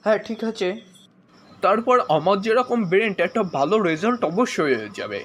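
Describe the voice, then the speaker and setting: A man's voice: sits high, big pitch swings, brisk, a bit bright, full character voice. A man playing a goofy, boastful young male character in a comedy cartoon dub.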